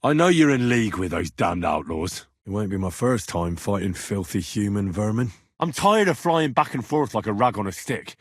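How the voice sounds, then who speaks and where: A man's voice: fairly low pitch, husky, no echo, clean studio sound. A man playing a young, plain-spoken medieval adventurer in a video game, wry, earnest and easily exasperated. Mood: exasperated, demanding. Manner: earnest, blunt, exasperated, conversational. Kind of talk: video game dialogue acting.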